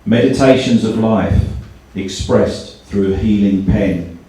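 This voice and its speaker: A man with a fairly low voice is hosting a live event with prepared words and verse. He sounds distant from the microphone, with heavy room echo around him. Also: takes it slowly, mellow. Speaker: event host. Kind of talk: prepared speech and poetry reading to an audience. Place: indoor live launch event. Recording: very echoey hall, far from mic.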